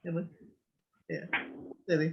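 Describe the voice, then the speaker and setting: A man's voice: slow, a little mellow, muffled recording, a little hiss or hum. A man in his fifties, a business owner, explaining his views earnestly in an interview, often asking rhetorical questions. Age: 50s. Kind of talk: reflective interview talk.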